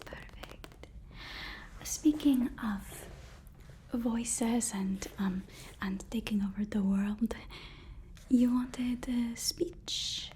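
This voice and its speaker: A woman whispering an ASMR roleplay as a deferential, flattering personal assistant, often repeating her words softly and hesitantly. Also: a little high, a little hiss or hum. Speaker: ASMR performer.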